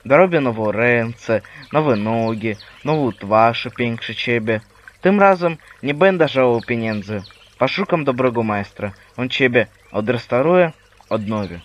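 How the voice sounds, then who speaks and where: A man playing a poor, humble friar in an animated religious play, speaking earnestly and plainly. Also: medium pitch, a little slow, highs cut off, natural voice.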